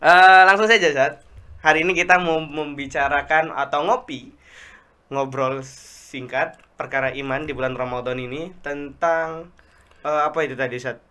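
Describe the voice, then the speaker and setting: A man hosting a casual religious talk show, chatty and quick to prompt his guest. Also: fairly high pitch, steady tone, bright, forceful tone, clear, resonant tone.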